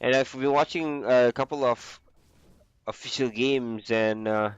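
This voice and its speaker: A young man with the flu, casually commentating a video game on his own, with a lot of filler pauses. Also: mid-range voice, steady tone, clear, resonant tone, no echo, lo-fi sound. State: sick with flu. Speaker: esports caster.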